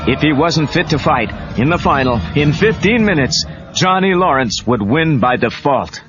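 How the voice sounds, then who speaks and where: Animated male storybook narrator on muffled cassette. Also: fairly high pitch, normal speed. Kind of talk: dramatic scripted storybook narration. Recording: muffled cassette, music and crowd behind.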